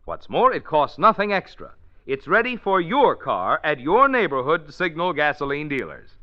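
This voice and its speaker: An American man delivers an old-time radio sponsor message. His raised pitch moves around a lot in a polished, folksy sales style, on a dull lo-fi recording. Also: sing-song, moderate pace. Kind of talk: 1940s radio ad read, scripted.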